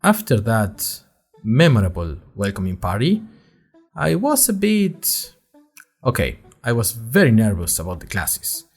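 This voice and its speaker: A man acts as a chatty young male college student telling his story for a fiction podcast. His voice sits fairly low but swings in a lively, expressive way. He is wry and a little nervous, with dramatic emphasis over background music. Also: a little slow, breathy edge, heavily acted. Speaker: narrator of an audio fiction podcast. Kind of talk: scripted first-person storytelling, diary-like.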